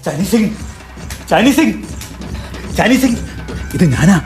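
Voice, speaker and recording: A man's voice: sits high, very animated, slow, darkish tone, faint music behind, heavily acted. A man playing a shaken train engine driver. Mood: urgent. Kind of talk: radio drama acting.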